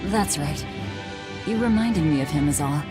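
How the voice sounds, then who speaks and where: A cool, guarded young woman in a video game cutscene. The voice is low and reserved, with few words to spare. Clear music runs behind it. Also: lively intonation, moderate pace. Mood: awkwardly tender. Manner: reserved, terse.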